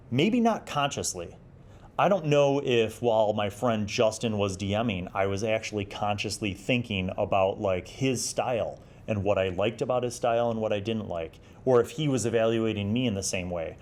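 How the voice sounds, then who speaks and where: A man in his forties with a slightly low voice hosts a solo review. He talks in a casual, conversational way, reminiscing and explaining things reflectively as he goes. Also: darkish tone. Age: 40s. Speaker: host of an old gaming review series.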